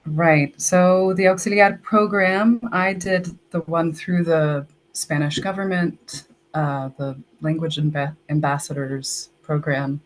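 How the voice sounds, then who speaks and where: A woman's voice: deep voice, fairly even intonation, slow, hardly any pauses, rough recording. Chatty young woman from Texas. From Texas, United States. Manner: chatty, uses "like" often, storytelling. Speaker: guest, English language assistant. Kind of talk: casual interview.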